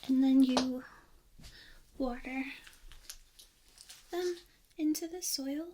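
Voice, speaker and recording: Female ASMR host, high soft voice slipping into whispers, unhurried and gentle, close binaural mic with faint noise. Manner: hushed, gentle, slow step-by-step instruction. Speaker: ASMR host demonstrating plant care in a role play. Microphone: close binaural microphone.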